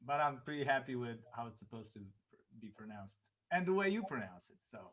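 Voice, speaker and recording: Serbian-accented male host, casual, easygoing, quick to laugh, mid-pitched. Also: rough recording. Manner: relaxed, laughs easily, short agreeing replies. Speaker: show host. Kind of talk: casual livestream interview.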